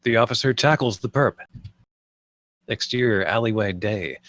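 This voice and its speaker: A man's voice: fairly low pitch, normal speed, a bit bright, no echo. A man reading stage directions as scripted narration at a screenplay table read.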